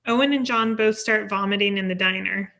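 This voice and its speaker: A woman's voice: medium pitch, brisk, creaky, pushed and bright. A woman reading stage directions as scripted narration at a table read.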